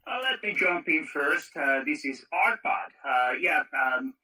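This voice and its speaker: Husky, very sharp-sounding man calling in. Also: on the high side, animated, a little slow. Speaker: caller checking in to a radio net. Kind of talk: casual radio chat. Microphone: talking into a phone through a walkie-talkie app. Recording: harsh, distant walkie-talkie app audio.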